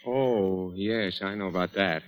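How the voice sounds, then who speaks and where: An actor playing an evasive, defensive husband in 1940s radio drama style, hesitant and snappish. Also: male voice, deep voice, a little slow. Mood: uneasy, insistent. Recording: vintage radio broadcast with music.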